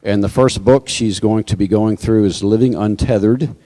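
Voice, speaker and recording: Low-voiced man in a reverberant hall. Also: steady tone, normal speed, warm tone. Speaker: church announcer. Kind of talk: informal church announcements to a congregation. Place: church sanctuary, live service. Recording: hall reverb from sound system.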